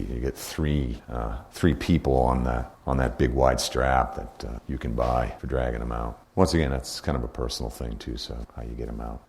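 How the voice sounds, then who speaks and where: Low-voiced older man, plainspoken and relaxed. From New Hampshire, USA. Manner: relaxed, plainspoken, repeats himself, restarts words. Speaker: deer hunting guest expert. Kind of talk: casual answers to listener questions.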